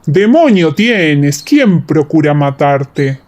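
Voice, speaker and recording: A woman's voice: low voice, very animated, takes it slowly, darkish tone, pitched below the performer's own voice, exaggerated voice. A woman voicing a skeptical, accusing man of an ancient crowd in a Bible radio play, with questioning, theatrical delivery.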